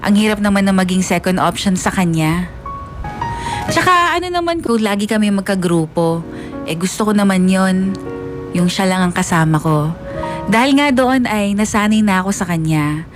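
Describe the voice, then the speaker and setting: A woman playing a lovestruck young woman who narrates her high school crush in a confiding, girlish way for a radio drama. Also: animated, normal speed, pauses often, music in the background, close to an everyday voice. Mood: wistful, resentful.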